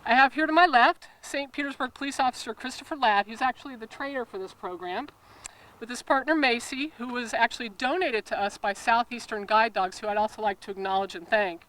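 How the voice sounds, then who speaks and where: A woman's voice: a little high, a little fast, a bit bright, poor audio. A woman police official delivering a prepared statement at a press conference. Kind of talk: prepared public address.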